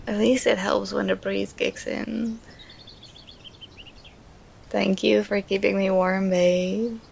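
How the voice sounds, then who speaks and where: A woman playing an affectionate, contented girlfriend in an ASMR roleplay, speaking in a tender, soothing, intimate and unhurried manner. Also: medium pitch, level tone, creaky, a little mellow, slight background noise. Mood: happy, affectionate.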